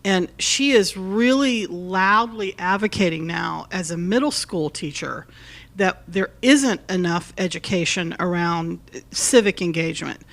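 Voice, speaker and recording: Woman, lowish voice, loud, advocating from the audience. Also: measured pace. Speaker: audience member.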